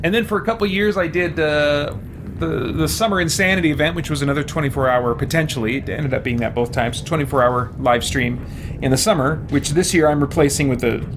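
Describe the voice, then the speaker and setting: A man's voice: on the high side, brisk, background noise. A man casually chatting on a live stream as he plays a role-playing game, in a hesitant, rambling way. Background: video game audio.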